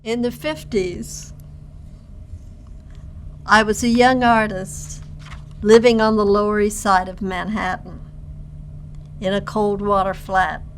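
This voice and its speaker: An older woman tells a personal memoir at a measured, reminiscing pace. Her voice has a gravelly, creaky texture. A faint hum of noise sits underneath. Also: mid-range voice. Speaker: storyteller.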